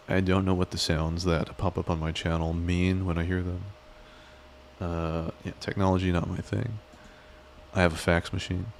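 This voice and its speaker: A man chats on a live stream, wandering from joke to joke and teasing himself along the way. His voice sits very low. A faint hiss runs under the recording. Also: vocal fry. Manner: rambling, joking, self-deprecating. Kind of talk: casual live-stream chat.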